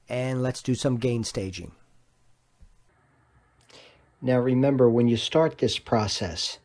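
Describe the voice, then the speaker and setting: A man with a mid-pitched voice narrates a tutorial at a slow, measured pace. He is plainspoken and takes the listener through instructions one step at a time. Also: a little mellow. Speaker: tutorial host.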